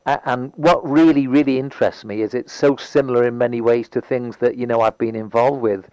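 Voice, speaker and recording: A man's voice: medium pitch, fairly even intonation, fairly quick, darkish tone, clean tone, dry recording, poor audio. A man with a Liverpool accent interviewing a guest, hesitant and full of restarts. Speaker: radio interviewer. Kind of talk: casual interview chat.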